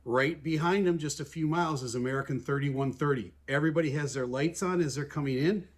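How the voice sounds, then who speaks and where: A man giving explanatory commentary as an aviation analyst, talking in a measured, plain-spoken way and putting radio calls into everyday words. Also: a little high. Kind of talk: explanatory commentary over air traffic recordings.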